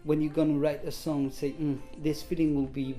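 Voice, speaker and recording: Guy doing a music interview, soft dark tone, halting english, bit of music behind him. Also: fairly high pitch, flat delivery. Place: apartment.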